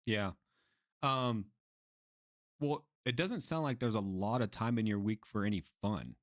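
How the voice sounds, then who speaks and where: A man's voice: fairly low pitch, unhurried. A man hosting a podcast interview, asking casual questions and backing up his guest with short replies. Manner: easygoing, many short backchannels. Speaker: podcast host.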